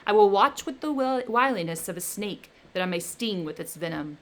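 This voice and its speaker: A woman reading aloud the lines of a lonely, vengeful male creature, declaiming them loudly and forcefully. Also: medium pitch, expressive, normal speed.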